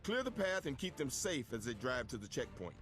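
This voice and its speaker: A man's voice: mid-range voice, very animated, a little fast, close to an everyday voice. Man acting a commanding agency director, mid-range voice, dry sarcasm, clipped orders, game cutscene over music. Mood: briefing. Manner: authoritative, sardonic, clipped. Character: commanding, sardonic male spy agency director.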